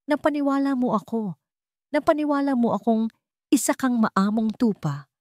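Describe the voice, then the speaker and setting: A woman's voice: on the high side, moderate pace, darkish tone, no echo, clean studio sound, pitched above the performer's own voice, lightly acted. A woman voicing a rich old man who is bitter and accusing, speaking with cold, cutting sarcasm in an audio story. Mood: bitter accusation.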